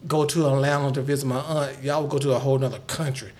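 A man's voice: medium pitch, flat delivery, fast talker, darkish tone. An American man hosting a casual interview, curious, who affirms the answers with frequent okays. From United States.

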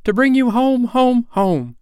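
An affectionate little girl played by a male narrator in a storybook reading, pitched very high. She is joyful, eager and tender, and the sound is dry and close. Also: animated, measured pace, a little mellow, clean tone, dry recording. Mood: joyful, loving.

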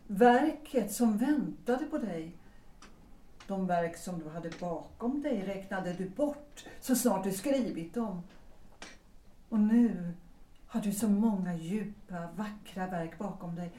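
An actress playing a composed, gently probing married woman in a period audio drama, with measured, deliberate phrasing. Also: mid-range voice, animated, close to an everyday voice. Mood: gentle, probing. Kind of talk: stage-play audio drama acting. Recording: echoey, distant, noisy room sound.

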